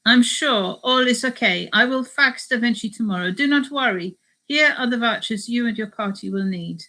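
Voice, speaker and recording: A woman reads out an officious male tour agent from a travel memoir, a Spanish speaker who insists on procedure. The voice is heavily creaky and the delivery pressing. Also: a little high, normal speed, bright, forceful tone, pitched above the performer's own voice, low-quality recording. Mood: breezily reassuring.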